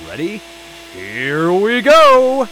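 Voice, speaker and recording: A man's voice: fairly low pitch, huge pitch swings, a little slow, slight background noise, exaggerated voice, rough recording. A man voicing a gleeful, sadistic male vigilante who taunts his captive and laughs often.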